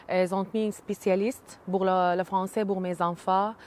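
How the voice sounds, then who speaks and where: A Syrian woman in her thirties whose first language is Arabic, speaking hesitantly with many pauses and fillers in an interview. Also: medium pitch, fairly even intonation, darkish tone. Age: 30s. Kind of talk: casual interview.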